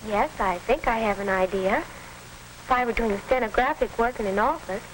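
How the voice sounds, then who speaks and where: Woman acting a high-pitched, earnest 1940s schoolgirl, scratchy film. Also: moderate pace, natural voice. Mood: polite, earnest. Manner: neat, proper, scripted delivery. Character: polite, earnest high school girl planning a stenography career.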